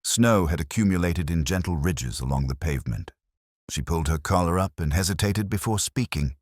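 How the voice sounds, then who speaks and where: A man narrating a scripted detective story in the first person, with a measured, reflective, literary delivery. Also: deep voice, expressive, darkish tone, no room sound, studio quality.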